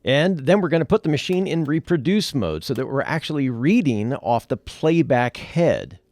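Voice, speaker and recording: A man's voice: mid-range voice, very animated, normal speed, darkish tone, no echo. A man presenting an audio production tutorial, explaining things step by step. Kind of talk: tutorial narration.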